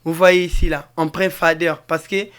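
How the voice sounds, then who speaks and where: A man with a Congolese French accent walks viewers through an informal production tutorial. His voice sits a little high and sounds clean and smooth. He explains in a halting, repetitive way, circling back over each step as he demonstrates it. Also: level tone, a bit bright. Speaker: music production tutor, mixing engineer.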